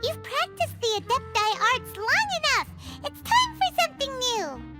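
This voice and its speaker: A woman voicing a small, chirpy childlike fairy companion in a video game, chatty and exclamatory with a squeaky voice. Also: sing-song, normal speed, pushed and bright, full character voice. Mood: explaining. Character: small excitable chirpy female fairy companion, childlike, speaks in third person. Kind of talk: video game cutscene acting. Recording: music behind the voice.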